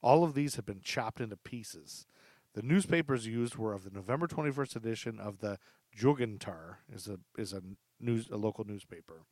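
A man's voice: fairly low pitch, lively intonation, moderate pace, a little mellow, no room sound. A man hosting a podcast, telling true crime stories partly read from notes, with informal, joking asides. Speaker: podcast host. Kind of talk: true crime storytelling, part read from notes, part casual chat.

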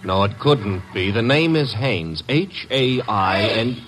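An actor playing a wry, wisecracking detective's assistant in an old radio drama, both narrating and talking. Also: male voice, on the low side, expressive, slow pace, background noise. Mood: brisk, dry.

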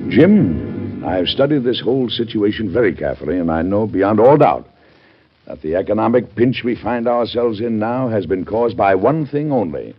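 An actor playing a steadfast older president in an old-time radio drama, measured, paternal and resolute. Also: male voice, lowish voice, lively intonation, faint music behind, lightly acted. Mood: firm, resolute. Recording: muffled old radio broadcast.

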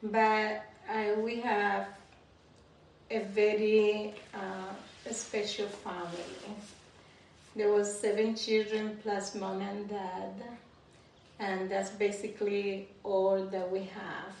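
A woman's voice: medium pitch, level tone, slow, a little mellow. A woman from the Dominican Republic with a Dominican Spanish accent, telling her life story as an interview guest at home. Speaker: interview guest giving testimony. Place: indoors at home. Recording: very echoey room, distant mic, faint noise.

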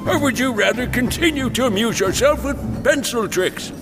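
Stern professor played by a man, audio drama; very high voice, sarcastic, swooping scold, music underneath. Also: animated, normal speed. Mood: annoyed, sarcastic. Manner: edgy, scolding.

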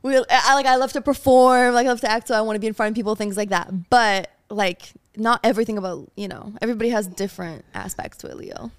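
A woman in her twenties co-hosting a casual podcast, upbeat and quick to giggle. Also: medium pitch, smooth, dry recording. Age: 20s.

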